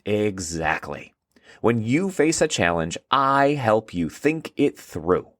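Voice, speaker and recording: A man puts on a brainy, bespectacled guide from a kids' storybook. He sounds upbeat and instructive, taking his time with animated swings in pitch. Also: slow pace, dry recording, studio quality, full character voice. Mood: encouraging. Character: bespectacled, encouraging brainy problem-solver, a frontal lobe.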